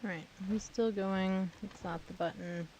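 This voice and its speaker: A woman streaming a game, slightly hoarse, talking to herself with dry asides as she plays. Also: medium pitch, fairly even intonation, normal speed, a little mellow, lo-fi sound, a little hiss or hum. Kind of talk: casual gameplay commentary.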